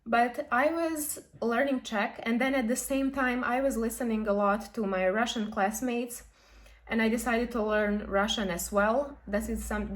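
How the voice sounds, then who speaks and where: A young woman talks through language points in a friendly, thoughtful way. Her voice sits a little high. She pauses with ums and corrects herself as she goes, like someone chatting to camera. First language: Serbian. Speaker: language vlogger. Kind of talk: explaining to camera.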